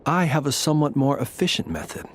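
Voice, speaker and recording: A man voicing a courteous, modest gentleman in a video game, speaking in a measured, polite way with wry understatement. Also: on the high side, background noise, lightly acted. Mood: gently reflective. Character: courteous, gentle male lantern bearer, modest and wryly humorous. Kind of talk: video game character dialogue, storytelling.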